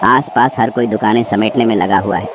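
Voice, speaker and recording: A man's voice: on the low side, steady tone, a little fast, noisy background. A man reading a scripted horror story as narrator.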